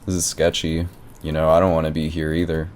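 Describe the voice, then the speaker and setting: A man's voice: extremely low pitch, completely flat delivery, fairly quick, darkish tone, slight background noise. A man playing a stubborn, clueless squatting tenant in an improvised prank phone call, rambling and argumentative with feigned naivety. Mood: rambling, aggrieved. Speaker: prank caller.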